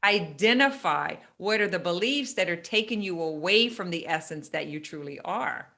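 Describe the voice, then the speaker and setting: Bright-voiced emphatic woman coach. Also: lowish voice, expressive, gravelly. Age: middle-aged. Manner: emphatic, talks in quick bursts and restarts. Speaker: coach, podcast guest. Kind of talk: casual podcast conversation.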